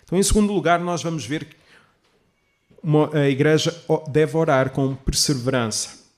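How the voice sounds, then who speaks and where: Higher-pitched male preacher. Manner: didactic, measured with emphatic stresses and rhetorical questions. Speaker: pastor. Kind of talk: sermon, expository preaching to a congregation.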